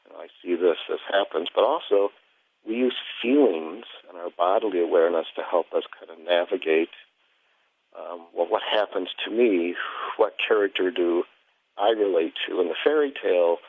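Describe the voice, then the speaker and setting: Male guest, low voice, thin phone-line sound, thoughtful and halting explanations. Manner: halting, thoughtful phrasing in short pieces. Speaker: interview guest. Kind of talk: reflective conversational explanation. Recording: thin, narrow telephone line.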